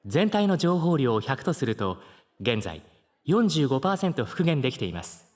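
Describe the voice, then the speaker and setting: A man playing a polite, calm mechanical guide in a Japanese superhero audio drama, speaking formally and precisely. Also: medium pitch, moderate pace, close to an everyday voice. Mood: businesslike.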